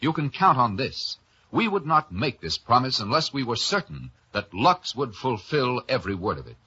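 A man reading a scripted 1950s radio soap advertisement as the announcer, with emphatic, deliberate persuasion. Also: medium pitch, very animated, sharp tone, slightly rough. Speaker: commercial announcer. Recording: dull vintage radio broadcast.

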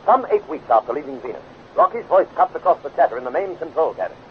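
A tinny, faintly noisy old radio recording of an adventure narrator. He proclaims each scripted line urgently in a fairly high voice, in 1950s serial style. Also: sing-song, fast. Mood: dramatic. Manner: declamatory, urgent. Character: dramatic male narrator of a space adventure serial.

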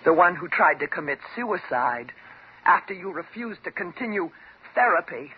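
Vengeful scorned woman, sarcastic, widely swooping husky delivery, vintage radio thriller, dull sound. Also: lowish voice, expressive, moderate pace. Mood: sarcastic, accusing. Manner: mocking, sarcastic, dramatic. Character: bitter, vengeful jilted woman patient holding doctor at gunpoint. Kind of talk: 1950s radio drama acting. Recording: dull old 1950s radio recording.

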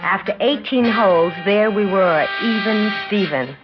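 An actress playing a proud, competitive young sports champion in a 1960s radio drama, curt and guarded. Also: female voice, mid-range voice, a little slow, lightly acted. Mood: brooding recollection. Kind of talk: 1960s radio drama acting with first-person narration. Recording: dull 1960s radio recording, loud music.

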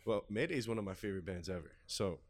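Deep-voiced host, laid-back. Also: male voice, lively intonation, a little mellow, no echo. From Miami. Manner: laid-back, slangy, quick to agree. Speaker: podcast host. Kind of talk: casual podcast conversation.

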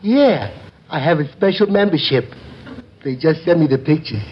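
An actor playing a dim-witted, amiable tavern regular with a goofy, simple-minded delivery in 1950s radio comedy. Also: male voice, fairly high pitch, animated, normal speed, natural voice. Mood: cheerfully dim. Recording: muffled old radio broadcast, faint noise.

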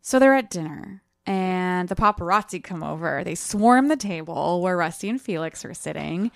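A woman's voice: lowish voice, expressive, normal speed, creaky, no room sound. Chatty woman co-host, dry close sound. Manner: chatty, informal banter. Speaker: co-host of a book discussion podcast. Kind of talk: casual, conversational book recap.

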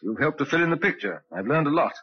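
An actor playing a courteous, shrewd police inspector in an old radio drama, formal and probing in manner. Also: male voice, fairly high pitch, expressive, quick pace, breathy edge. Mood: polite, probing. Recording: dull old radio recording.

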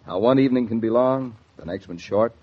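An American man with a husky voice, doing scripted announcing in 1940s radio style. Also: medium pitch, a little fast. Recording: dull lo-fi 1940s radio recording.

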